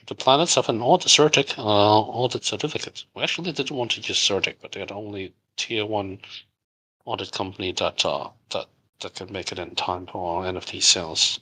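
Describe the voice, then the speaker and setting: A man's voice: on the low side, a bit bright, slightly rough, low-quality recording. A middle-aged man from Hong Kong, a native Cantonese speaker, casually reading out and answering community questions with many fillers and restarts. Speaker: game studio founder answering community questions.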